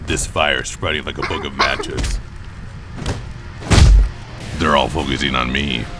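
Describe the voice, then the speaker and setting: A man's voice: very deep voice, fairly even intonation, unhurried. A man voicing a grim, brooding masked vigilante who narrates his thoughts tersely in noir comic-book style over music. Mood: grim, pensive.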